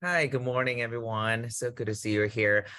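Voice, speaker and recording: A man from Taiwan giving an earnest welcome and land acknowledgement over a video call, gently inviting others to share. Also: mid-range voice, steady tone, normal speed, smooth. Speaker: committee member.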